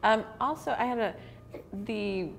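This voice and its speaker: A woman's voice: a little high, unhurried, creaky, faint music behind. An American woman, around forty, chatting casually as a co-host on a panel show. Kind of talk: casual chat. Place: indoor cafe at a table.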